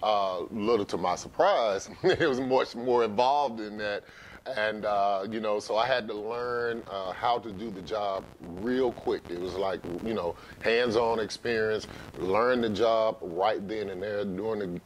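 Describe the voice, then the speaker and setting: Deep-voiced American man, conversational and hesitant. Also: unhurried, poor audio. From Memphis, United States. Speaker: interview guest, sports arena announcer. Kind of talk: casual interview answers. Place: living room, seated on couch. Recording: faint low background noise.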